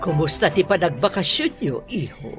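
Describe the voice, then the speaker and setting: A woman's voice: on the low side, very animated, moderate pace, music in the background. A woman acting a stern, controlling wealthy mother in a radio drama, speaking in a commanding, scolding way. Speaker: Madam Carla, employer and mother.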